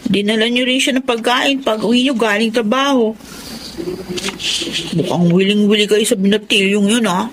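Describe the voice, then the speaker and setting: An actress playing a sharp-tongued, jealous young daughter who talks in a sarcastic, complaining way. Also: female voice, fairly high pitch, normal speed, noisy background, lightly acted. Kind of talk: radio drama acting.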